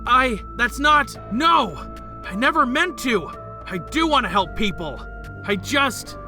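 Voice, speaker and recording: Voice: mid-range voice, very animated, slow, music in the background. A performer playing a good-hearted, naive male martial-arts hero in an anime-style audio story, earnest and easygoing. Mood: hurt, defensive. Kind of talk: anime-style character acting.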